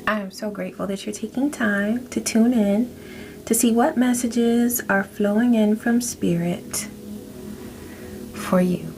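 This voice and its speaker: A woman giving a spiritual tarot reading to her viewers, speaking in a soft, affirming way and drawing out her phrases. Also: mid-range voice, unhurried. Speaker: tarot reader. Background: background music.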